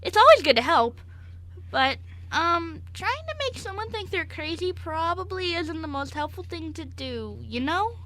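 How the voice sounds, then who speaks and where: A woman playing an eager, naive young male dog servant in an amateur radio play, with wide-eyed, excitable questions. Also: very high voice, moderate pace, heavily acted. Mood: gentle, sincere.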